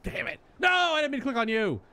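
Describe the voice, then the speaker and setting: A man's voice: very high-pitched, big pitch swings, fast talker, pushed and bright. A man doing casual live game commentary, musing and rambling as he thinks aloud. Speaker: game streamer.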